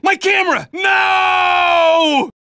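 An actor playing a bitter, desperate young news photographer in a video game, melodramatic and talking to himself in a strained, raspy voice. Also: male voice, extremely high pitch, very slow, bright, forceful tone, dry recording, shouting, clean studio sound, full character voice. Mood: panicked, despairing.

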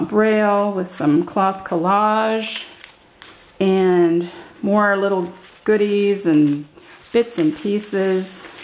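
Older woman, crackly vocal fry, medium pitch, halting casual delivery, craft vlogger thinking aloud. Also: a little mellow. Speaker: craft vlogger showing her handmade journals. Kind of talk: casual, unscripted show-and-tell. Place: indoors at a table, hands-only overhead camera.

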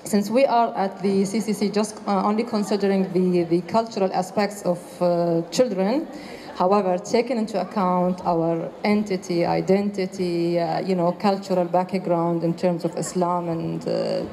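Mellow-voiced woman panelist, halting delivery. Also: lowish voice, fairly even intonation. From Qatar. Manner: hesitant, many fillers and restarts. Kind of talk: panel discussion answer. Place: stage of a large indoor hall. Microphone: handheld mic.

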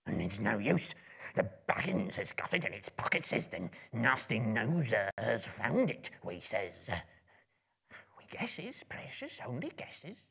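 A man's voice: lowish voice, very animated, a little slow, fairly bright tone, heavily acted. A man voicing a small, wretched, spiteful cave creature who hisses and mutters to himself in broken grammar, in a dramatic book reading. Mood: fretful, suspicious. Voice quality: raspy, hissing, creaky.

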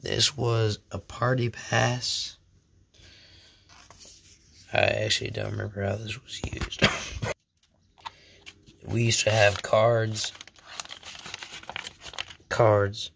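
A young man with a heavily gravelly, low voice rambles slowly through a show-and-tell at home, sounding a little sick. He often slips into whispers. A faint hiss sits under the phone recording. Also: animated, a bit bright. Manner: rambling, self-deprecating, drops to whispers. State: sick, voice slightly off. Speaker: channel host. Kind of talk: casual show-and-tell vlog.

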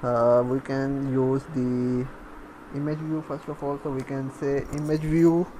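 A man talks through a screencast programming lesson at a slow, halting pace, thinking aloud as he goes. His delivery is very flat, with little movement in pitch. There is clear noise behind his voice. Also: low-quality recording. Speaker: programming tutorial instructor. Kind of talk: unscripted screencast coding tutorial. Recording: clear background noise, room ambience.

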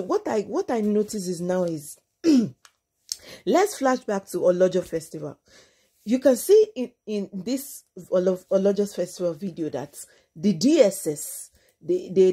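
Slightly husky Nigerian woman host. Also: medium pitch, dark tone. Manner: switches between English and Yoruba. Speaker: online gossip channel host. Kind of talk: informal celebrity news commentary.

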